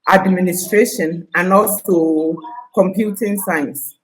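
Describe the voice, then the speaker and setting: Older woman, Ghanaian Ashanti accent, slow unhurried speech, confident, recounting her teaching career. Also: fairly low pitch, fairly even intonation, slight background noise, poor audio. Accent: Ghanaian, Ashanti (Akan) with some Scottish. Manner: confident, deliberate storytelling. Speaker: guest, retired teacher. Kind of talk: interview conversation.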